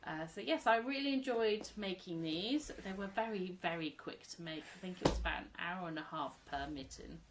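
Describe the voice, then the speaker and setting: A woman in her thirties, chatting casually at home about her crochet, a little croaky from a cold, rambling and laughing often. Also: fairly low pitch, brisk, few pauses, very noisy. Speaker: crochet vlogger, mother. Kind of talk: casual show-and-tell chat.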